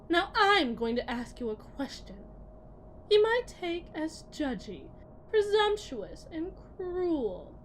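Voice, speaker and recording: A woman playing a cold, condescending lead technician in an ASMR audio roleplay, speaking deliberately and often dropping toward a breathy whisper. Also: high voice, slight background noise, exaggerated voice, rough recording. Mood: condescending, accusing. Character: cold, condescending female lead technician at shady tech company.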